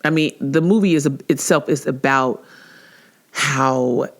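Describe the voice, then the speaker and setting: A woman in her forties talks through her own podcast in a loose, casual monologue. She is chatty and animated, laughs easily and often restarts her sentences. When she acts out what someone said, her voice jumps far higher. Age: 40s. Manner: laughs easily, with frequent filler words and restarts. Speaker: podcast host.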